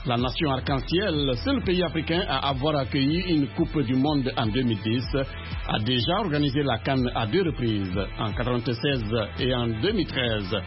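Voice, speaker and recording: A man reads a sports bulletin in a brisk, clear newsreader manner. The radio sound is dull, with faint music behind him. His pitch sits in the middle range. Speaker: sports news presenter.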